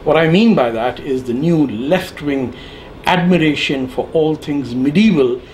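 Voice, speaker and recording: A man in his sixties giving an opinion monologue to camera, emphatic and sardonic, with deliberate phrasing. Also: fairly high pitch, a little mellow. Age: 60s. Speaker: political commentator. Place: living room with bookshelves, indoors. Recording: clear background noise.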